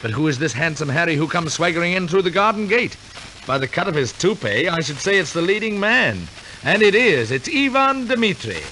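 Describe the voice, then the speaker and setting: Male radio narrator, theatrical and wry, lively swooping delivery, slightly high pitch, 1940s operetta broadcast, noisy old recording. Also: a little fast. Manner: theatrical, wry tongue-in-cheek storytelling.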